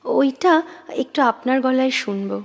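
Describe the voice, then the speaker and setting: A woman acting an intense, moody younger sister in a Bengali audio drama, an ardent reader speaking in halting, fervent repetitions. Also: high-pitched, vocal fry. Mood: insistent. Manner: breathless, halting, repeats words with fervour.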